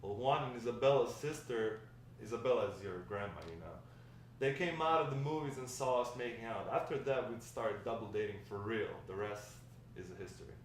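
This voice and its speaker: A man playing a grandfather who fondly recounts his wild, lovestruck youth in a halting table read. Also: on the low side, lightly acted. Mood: fond, nostalgic. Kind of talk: table read of a dramatic script. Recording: echoey room, distant mic, faint noise.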